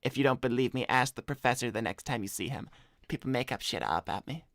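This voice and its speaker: A man's voice: medium pitch, fast, dry recording, studio quality, close to an everyday voice. A man voicing a cocky, laid-back cartoon hedgehog who chats in slang on an improvised livestream. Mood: reading aloud, then cocky, bragging. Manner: slangy, swearing, deadpan bragging. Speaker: cartoon character co-hosting an advice livestream. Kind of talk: improvised in-character chat, reading donor messages.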